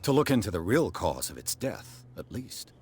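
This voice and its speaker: An actor playing a terse, gruff private investigator who questions people bluntly in a video game, with a husky voice. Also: male voice, fairly low pitch, big pitch swings, normal speed, slight background noise. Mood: probing. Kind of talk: video game cutscene dialogue.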